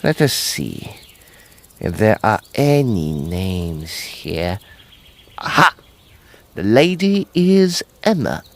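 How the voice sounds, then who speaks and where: A man playing a shrewd, eccentric Edwardian occult detective in an audio drama, creaky-voiced, deliberate and theatrical. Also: medium pitch, a little hiss or hum, heavily acted. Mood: intrigued. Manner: deliberate, theatrical, musing then exclaiming.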